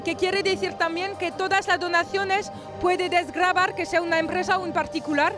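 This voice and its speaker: High French-accented woman. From France. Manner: enthusiastic, slightly broken Spanish. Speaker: charity president. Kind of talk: street interview. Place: crowded gallery indoors. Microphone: handheld reporter mic.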